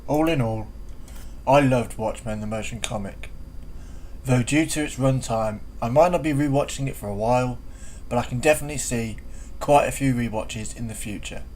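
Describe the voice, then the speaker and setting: A man's voice: mid-range voice, normal speed, darkish tone, rough recording. A man giving a semi-scripted review as spoken commentary. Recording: faint background noise.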